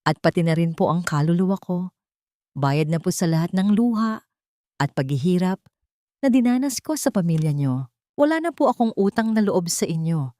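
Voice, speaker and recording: A woman voicing a firm, dignified young mother in an audio story, speaking in a cold but polite, steady way. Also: fairly low pitch, normal speed, dry recording, clean studio sound, close to an everyday voice.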